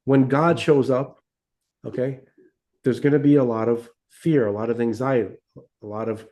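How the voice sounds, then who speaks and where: A man's voice: medium pitch, fairly even intonation, moderate pace, darkish tone. A man co-hosting a podcast, talking through his views in a rambling way, with frequent restarts and tag questions like "right?" and "okay?". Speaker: podcast co-host. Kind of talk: casual religious discussion.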